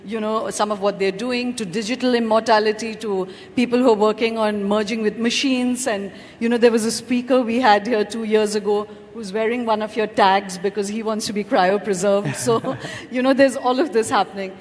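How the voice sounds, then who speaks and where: A woman's voice: on the high side, fairly even intonation, moderate pace, few pauses, a little mellow. A woman moderating a conference panel, asking probing questions in an informal way, thinking aloud as she goes. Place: large conference hall. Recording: reverberant hall with faint noise.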